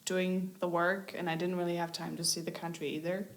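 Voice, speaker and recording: Woman chatting on a podcast, lowish voice, open and a bit giggly. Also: little pitch movement, brisk. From Germany. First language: German. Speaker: interview guest, musician. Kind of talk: casual podcast conversation.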